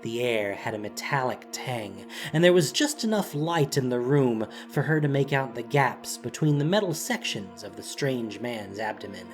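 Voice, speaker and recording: A man's voice: mid-range voice, expressive, moderate pace, few pauses, faint music behind. A man reading scripted fantasy narration in a dramatic storytelling style, as the narrator of an audio drama.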